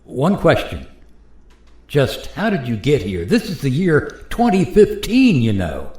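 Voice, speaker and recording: A man's voice: medium pitch, huge pitch swings, unhurried, a little mellow. An actor playing a skeptical, good-humored American family man in a radio play, wry and incredulous, recorded in an echoey room. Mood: incredulous, amused. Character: George Morgan, skeptical, good-humored American airline pilot and father.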